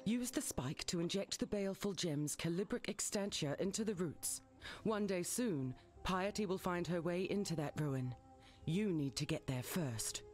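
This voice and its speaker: An actor playing a guarded, knowing advisor in a dark fantasy video game, speaking in measured, articulate exposition. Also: medium pitch, lively intonation, faint music behind, natural voice. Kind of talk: video game character dialogue.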